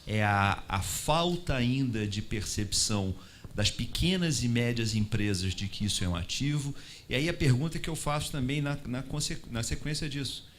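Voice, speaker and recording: A man's voice: mid-range voice, lively intonation, normal speed, slight background noise. A man moderating a conference panel, asking long, winding, provocative questions.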